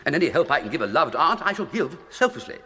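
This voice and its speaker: An actor playing a flippant, idle young English gentleman with a breezy, plummy, upper-class accent and exclamatory period slang, in a British radio comedy. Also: on the high side, very animated, quick pace, exaggerated voice. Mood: chatty, flippant. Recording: long echoing room reverb.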